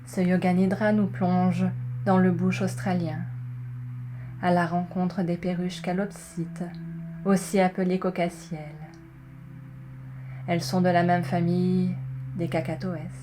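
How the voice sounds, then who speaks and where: Slow, low-voiced woman guiding relaxation. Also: flat delivery. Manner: soothing, measured, pausing between short phrases. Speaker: yoga nidra guide. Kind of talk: guided relaxation, scripted narration. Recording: clearly noisy, ambient tones behind. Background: meditation music with singing bowl tones.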